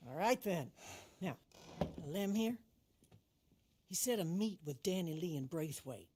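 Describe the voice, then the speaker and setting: A woman's voice: lowish voice, expressive, unhurried, noisy background. A woman playing a commanding, vengeful older criminal matriarch with a salty tongue in a dramatic game cutscene. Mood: calm, commanding.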